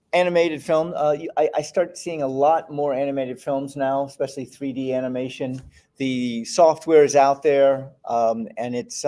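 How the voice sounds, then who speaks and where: A festival host chats between screenings in a loose, unscripted way, full of ums and uhs. His voice is warm and mellow at an ordinary middle pitch. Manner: hesitant, many fillers. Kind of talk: casual hosting.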